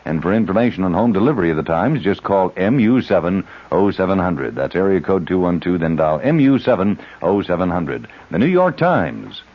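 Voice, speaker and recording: A man reading scripted news and announcements on the radio in a formal, measured way. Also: sits low, big pitch swings. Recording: dull old radio broadcast.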